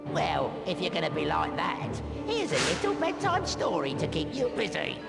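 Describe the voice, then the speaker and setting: Mischievous male poltergeist for a game: gravelly, wildly swooping, mocking sing-song taunts. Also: medium pitch, wildly swooping pitch, normal speed, over loud music, full character voice. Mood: mocking, gleeful.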